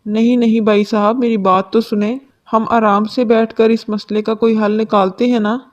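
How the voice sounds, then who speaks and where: A woman's voice: a little high, level tone, normal speed, a little mellow, dull audio, natural voice. A woman voicing an older mother pigeon in a cartoon story, chiding and always excusing her son. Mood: pleading. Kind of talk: Hindi cartoon story dubbing.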